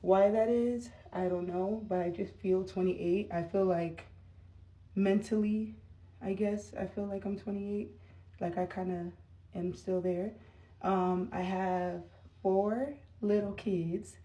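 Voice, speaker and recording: A woman's voice: medium pitch, steady tone, slow, dark tone, distant mic. A woman in her thirties chatting casually to camera while doing her makeup, rambling with lots of fillers. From United States. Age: 30s. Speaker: vlogger.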